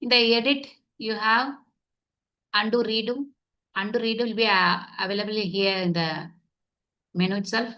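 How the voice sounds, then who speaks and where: A woman's voice: mid-range voice, fairly even intonation, normal speed, pauses often, muffled recording. A woman whose first language is Tamil, teaching an online class step by step and checking whether her students follow. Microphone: computer mic on video call.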